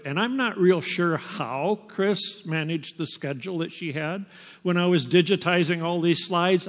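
An older man, a missionary teacher, giving a slow, unhurried personal testimony to a church. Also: a little high. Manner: slow, unhurried storytelling with pauses. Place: church sanctuary. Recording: long reverb of a large hall.

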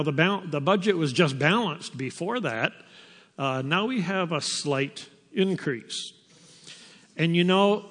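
Older man telling his story in a hall. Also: fairly high pitch. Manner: slow, unhurried storytelling with pauses. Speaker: missionary teacher. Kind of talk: personal testimony to a church. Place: church sanctuary. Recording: long reverb of a large hall.

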